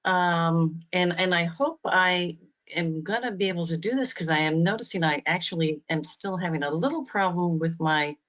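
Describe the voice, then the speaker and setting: A woman's voice: on the low side, a bit bright. A woman facilitating a panel from her home on a video call, speaking in a friendly, easygoing, self-deprecating way. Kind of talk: conversational introductions on a video call. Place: home office. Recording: dull lo-fi video call audio.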